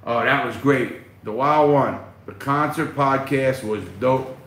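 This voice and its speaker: A man's voice: medium pitch, takes it slowly, fairly bright tone. A middle-aged man hosting a casual livestream, reading chat aloud and answering bluntly, swearing freely, recorded on a phone at arm's length. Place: small room at home, indoors. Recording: echoey room, faint noise.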